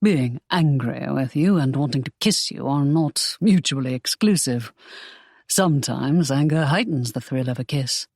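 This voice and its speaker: A woman voicing a protective young male romance hero in an audiobook, speaking calmly and firmly in a lowered voice. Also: slightly rough, no echo, studio quality, heavily acted. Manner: calm, firm, low and measured.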